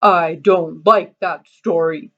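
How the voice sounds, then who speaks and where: A woman voicing a huge, slow-witted male giant who talks in a slow, heavy, plodding way. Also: fairly low pitch, steady tone, a bit bright, highs cut off, voice pushed down. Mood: sulky.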